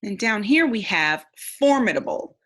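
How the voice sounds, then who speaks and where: A woman reading a storybook aloud like a teacher, pausing to think aloud and explain. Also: mid-range voice, big pitch swings, fairly bright tone.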